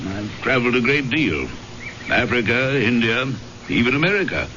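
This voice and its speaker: A man acting a big, courteous English gentleman adventurer with hidden menace in an old radio drama, suave and measured. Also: medium pitch, big pitch swings, close to an everyday voice. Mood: suave, ominous. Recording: muffled, noisy old radio broadcast.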